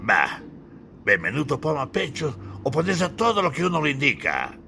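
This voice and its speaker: A man's voice: on the high side, huge pitch swings, normal speed, vocal fry, sharp tone, a little hiss or hum, pitched above the performer's own voice, full character voice. A man voicing a haughty, irritable Renaissance grand duke in an audiobook, imperious and questioning. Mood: dismissive.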